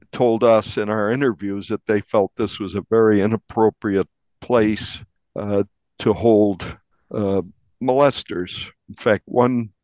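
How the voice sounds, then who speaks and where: A man speaking as a journalist in an interview, giving a measured account of a case. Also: lowish voice, a little mellow, dry recording, highs cut off. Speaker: investigative journalist being interviewed.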